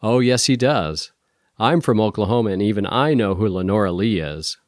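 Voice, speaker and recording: A man's voice: fairly low pitch, moderate pace, no echo, clean studio sound, lightly acted. A man playing a young, folksy, dreamy policeman from Oklahoma in an audiobook story. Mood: amused. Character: young dreamy country-bred male cop from Oklahoma.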